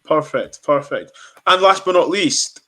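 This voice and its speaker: A man's voice: fairly high pitch, unhurried, fairly bright tone. A man hosting a podcast, interviewing guests in a direct way with lots of fillers and repeated words. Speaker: podcast host.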